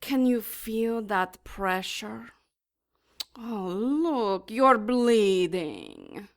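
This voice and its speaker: A cruel she-demon for a radio play, drawing out every word very slowly. She is mocking and menacing, relishing each threat. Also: mid-range voice, very animated, full character voice. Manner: slow, mocking, savoring threats. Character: sadistic, taunting female demon, silky and menacing. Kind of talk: audio drama acting.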